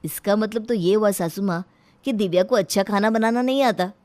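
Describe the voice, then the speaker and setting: Teasing young in-law at dinner for a cartoon , played by a woman, mid pitch, close dry sound. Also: a little fast, a little mellow, no echo, lightly acted. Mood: teasing, expectant.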